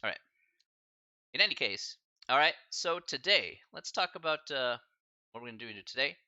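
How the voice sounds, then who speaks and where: A man lecturing a math class over a live stream, loose and chatty, full of "uh" and friendly slang. His voice is very bright and lively, swinging up and down in pitch. The sound is dry and a little dull, with no room around it. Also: normal speed, no echo. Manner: casual, chatty, filler-heavy with slang asides. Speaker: math instructor.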